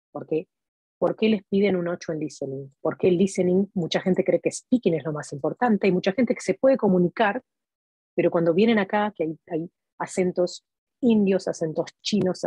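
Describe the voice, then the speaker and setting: A woman in her forties with an Argentine Spanish accent, hoarse from talking all day, chatty and digressive as she explains language exams to viewers from her home. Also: fairly low pitch, dark tone, no room sound. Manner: chatty, digressive, frequent "¿sí?" check-ins. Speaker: immigration and language-test advisor. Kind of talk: casual online lesson. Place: home room, indoors. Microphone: webcam video recording.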